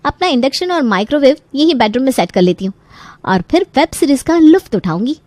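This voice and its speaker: Woman acting as young daughter-in-law, high-pitched, bubbly, overconfident, playful, animated story, dry recording. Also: fairly quick, no room sound. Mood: gleeful. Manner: playful, breezy, cheeky.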